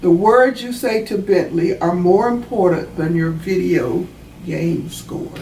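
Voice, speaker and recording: Slow-reading woman in a roomy space. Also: fairly low pitch, vocal fry, mellow. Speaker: author reading her own children's book. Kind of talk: children's storybook read-aloud with explanatory asides. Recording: roomy, distant mic, faint background noise.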